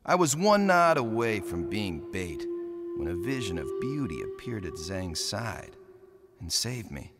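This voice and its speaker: Low, brooding, cocky young sailor. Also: male voice, sing-song, a little slow. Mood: grim, wry. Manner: hard-boiled, wry storytelling. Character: vengeful young navy lieutenant turned pirate, cocky and brooding. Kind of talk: video game cutscene narration and dialogue. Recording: music under the voice.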